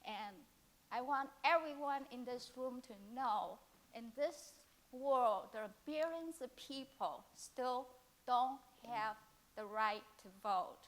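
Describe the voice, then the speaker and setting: A woman from China with a Chinese accent, speaking earnestly from a conference audience in halting phrases with many pauses. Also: high-pitched, poor audio. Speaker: audience member, volunteer ambassador.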